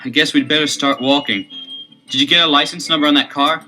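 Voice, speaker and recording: Younger brother detective, a teen boy, eager and emphatic, slightly high, bright voice, vintage record drama. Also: steady tone, a little fast, faint music behind. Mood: puzzled. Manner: eager, emphatic theatrical delivery. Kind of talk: 1970s audio adventure acting.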